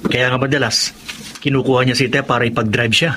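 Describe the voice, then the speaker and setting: An actor playing a kindly, concerned ordinary man in a Filipino radio drama, gentle and sympathetic. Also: medium pitch, fairly even intonation, fast talker, lightly acted. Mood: caring, hopeful. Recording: noisy radio drama recording.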